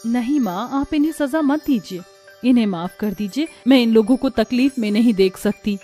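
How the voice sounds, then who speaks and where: A woman voicing a pious, long-suffering young mother in a cartoon, gentle, earnest and pleading. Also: a little high, normal speed, soft background music, natural voice. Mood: devout, grateful. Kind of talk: Hindi cartoon voice acting.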